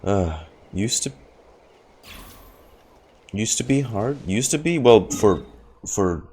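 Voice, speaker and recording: Low-voiced, slow-talking man streaming a game. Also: expressive, a little mellow, a little hiss or hum. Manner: rambling, repeats words and phrases. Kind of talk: casual live gaming commentary. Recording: game music behind the voice.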